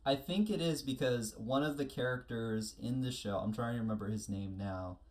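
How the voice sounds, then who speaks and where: A podcast co-host explains things in a relaxed, conversational style. The delivery is careful and a little tentative, feeling out the details while going along. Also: mid-range voice, moderate pace. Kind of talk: casual explanatory chat.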